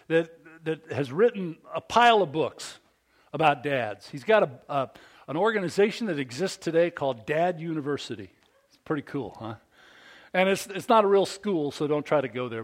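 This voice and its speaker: Animated preacher man with a big voice. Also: fairly high pitch. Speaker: pastor. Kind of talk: sermon.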